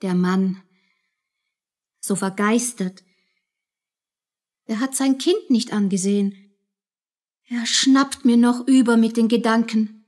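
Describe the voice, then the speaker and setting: A woman's voice: fairly high pitch, slow pace, long pauses, darkish tone. A woman playing a worried young mother, a soldier's sweetheart, in a radio drama.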